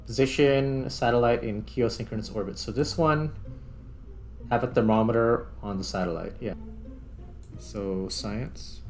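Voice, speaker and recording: A man's voice: unhurried, soft background music. A man giving casual, unscripted gameplay commentary, thinking aloud and trailing off mid-thought as he plays. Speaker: host, gamer playing a space flight simulator. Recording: clear rumbling noise behind voice.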